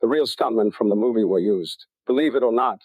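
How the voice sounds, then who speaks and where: Male reader, somewhat low and husky, reading preview copy, dry recording. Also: fairly quick, a little mellow, no room sound, rough recording. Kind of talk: reading game previews aloud.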